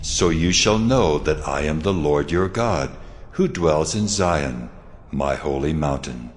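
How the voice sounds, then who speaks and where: An actor playing God in a dramatized scripture reading, speaking in a solemn, declamatory, majestic manner. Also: male voice, very deep voice, expressive, slow, a little hiss or hum, heavily acted. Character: God speaking to his people, solemn, majestic male deity. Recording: long cavernous reverb under clear music.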